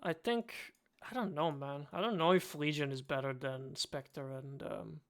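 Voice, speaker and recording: Serbian accent guy, blunt and jokey, swears a lot, kinda high gravelly voice. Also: unhurried. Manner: blunt, joking, swears casually. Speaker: podcast co-host. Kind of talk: casual podcast chat.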